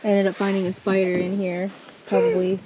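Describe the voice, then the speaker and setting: A woman's voice: medium pitch, monotone, a little fast, mellow, a little hiss or hum, low-quality recording. A woman chatting casually as a vlogger, rambling and trailing off mid-sentence, outdoors on a boat on a lake, recorded on an action camera. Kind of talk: casual vlog narration. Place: outdoors on a boat on a lake, under a mesh tarp.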